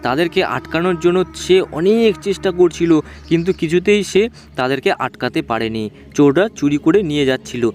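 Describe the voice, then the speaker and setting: A man's voice: a little high, animated, moderate pace, a little mellow. A man narrating a ghost story for a cartoon in simple, informal, repetitive spoken language. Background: background music.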